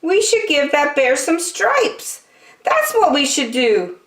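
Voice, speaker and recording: A perky storybook zebra voiced by a woman talks very high and sing-song. She sounds eager and helpful, swinging widely in pitch in a roomy space. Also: very animated, slow, a bit bright, far from the mic, full character voice. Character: helpful, chatty female zebra, eager problem-solver. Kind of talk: picture book read-aloud.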